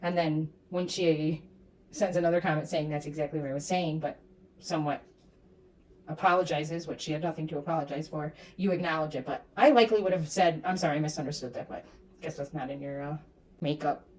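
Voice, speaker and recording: Distant, low-pitched woman giving sarcastic commentary. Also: brisk, darkish tone. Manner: sarcastic, disapproving. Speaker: commentator reacting to clips of a streamer. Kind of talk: critical reaction commentary.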